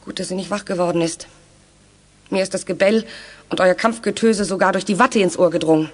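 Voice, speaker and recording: Woman as brisk, teasing older aunt. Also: on the low side, lightly acted. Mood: wry, fussing. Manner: brisk, teasing, mock scolding. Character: wry, caring 62-year-old aunt, bossy but jolly. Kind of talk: 1980s German children's radio play acting.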